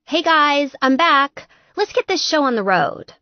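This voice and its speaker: A woman narrator voicing a kind but exasperated geeky young woman gamer in an audiobook, placating and brisk. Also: high-pitched, very animated, dry recording, lo-fi sound, voice pushed up. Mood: brisk, upbeat.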